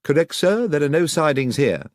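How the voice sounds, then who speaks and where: A man voicing an anxious, deferential country station master in excitable audiobook dialogue. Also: on the high side, normal speed, dry recording, clean studio sound, voice pushed up. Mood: shaken, deferential.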